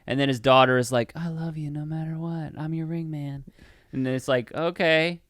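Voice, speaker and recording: A man's voice: a little high, steady tone, normal speed, a bit bright, no room sound, clean studio sound. A man co-hosting a casual film review podcast, rambling and swearing as he acts out mock bits of dialogue. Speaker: podcast co-host.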